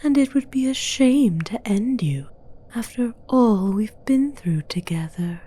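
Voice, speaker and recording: A woman playing a seductive, domineering female demon villain in an ASMR roleplay, speaking in a breathy whisper with a deliberate, theatrical, condescending delivery. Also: on the high side, lively intonation, dark tone. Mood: weary, menacing.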